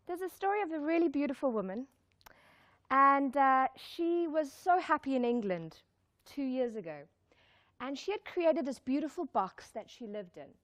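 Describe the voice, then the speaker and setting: A woman's voice: high voice, lively intonation, moderate pace, a bit bright. A woman giving a live motivational talk, speaking emphatically, repeating key lines and putting questions to her listeners. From born in Zimbabwe, raised in South Africa. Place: live talk before an audience.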